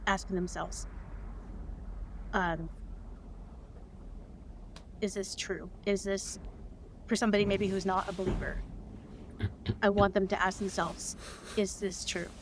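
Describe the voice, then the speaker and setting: A woman talks as a guest in a relaxed interview. Her voice sits at an average pitch, and she speaks earnestly, pausing to find her words. A faint ambient noise runs behind her. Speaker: interview guest, audio drama co-creator. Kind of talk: casual interview chat.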